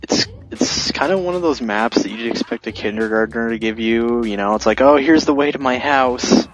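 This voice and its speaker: A man's voice: medium pitch, normal speed, music in the background, rough recording. A man doing casual, crude, joking commentary with friends over a video game. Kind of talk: casual group gameplay commentary.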